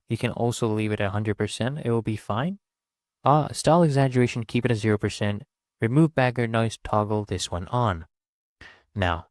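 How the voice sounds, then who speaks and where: A man with a mid-pitched voice walks through a software tutorial step by step. His delivery is casual and instructional, recorded close and dry with hardly any room sound. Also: no room sound. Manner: informal, instructional. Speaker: tutorial presenter.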